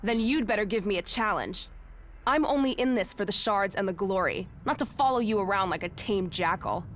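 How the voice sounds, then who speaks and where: An actress playing a brash, foul-mouthed, bloodthirsty outlaw warrior in a video game, sneering and cocky. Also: female voice, medium pitch, lively intonation, a little fast, a little hiss or hum, close to an everyday voice. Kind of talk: dramatic game dialogue.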